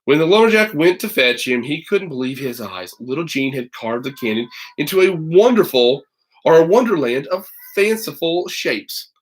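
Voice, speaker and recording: Man reading a storybook aloud, chatty and animated. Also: a little high, moderate pace. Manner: animated, chatty, breaks off for playful asides. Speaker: teacher reading aloud to children online. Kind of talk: expressive storybook read-aloud with casual asides.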